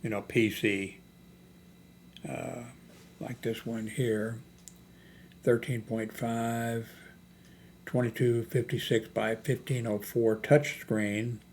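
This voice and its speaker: Low-voiced older man rambling, noisy recording. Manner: hesitant, halting, thinking aloud. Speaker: YouTube host. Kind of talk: rambling, unscripted screen-browsing product chat. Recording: noticeable background noise, homemade recording.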